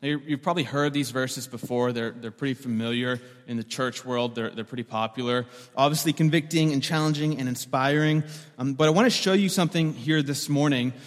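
A man preaches to his congregation in a loose, joking way, often doubling a word before carrying on. His voice sits at an ordinary middle pitch. A long reverb trails behind each phrase, as if the sound fills a large hall. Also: fairly quick. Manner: conversational and joking, repeats words as he restarts. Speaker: pastor. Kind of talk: sermon.

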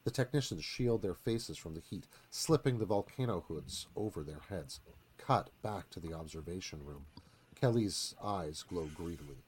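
Male narrator, lower pitch, very varied intonation, dramatic script reading. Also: expressive, normal speed, darkish tone, husky. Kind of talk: scripted screenplay narration for live radio theatre.

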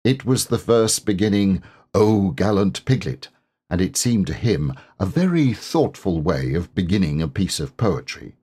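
Husky, expressive male storybook narrator. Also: sits low, moderate pace, hardly any pauses. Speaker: audiobook narrator.

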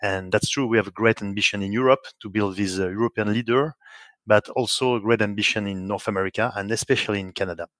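Brief: French-accented male guest, low-pitched, halting delivery, dry close mic. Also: steady tone, fairly bright tone, dry recording. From France. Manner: hesitant, many restarts and fillers. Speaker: guest, software company executive. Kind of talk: podcast interview answers.

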